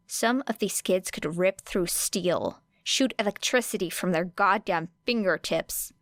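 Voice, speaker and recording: A woman reading a fiction audiobook as narrator, with expressive scripted delivery. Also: fairly low pitch, no echo, clean studio sound.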